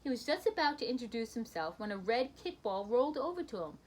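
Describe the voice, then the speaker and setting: A woman reading a children's picture book aloud in an expressive storytelling manner, with brief asides to her listeners. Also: on the high side, fast, a little hiss or hum.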